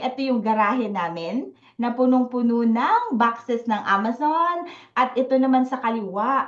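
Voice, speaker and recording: Casting note: talkative Filipina woman in her forties hosting an online workshop, higher voice, echoey room on slightly muffled audio. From Philippines, Bicol. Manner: chatty, mixes Tagalog and English, frequent "okay?" check-ins. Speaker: Amazon selling workshop host.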